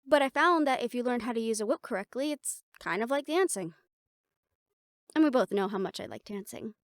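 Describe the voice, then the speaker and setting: A woman's voice: a little high, animated, brisk, dry recording, studio quality, natural voice. A woman playing a confident, playful siren-banshee warrior in a conversational audio roleplay, talking intimately to the listener. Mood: proud, amused.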